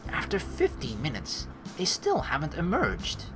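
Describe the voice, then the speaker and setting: A woman's voice: deep voice, wildly swooping pitch, a little slow. A young woman hosting a let's play, teasing and slangy as she mixes casual commentary with reading the game's narration aloud. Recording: faint game music behind the voice.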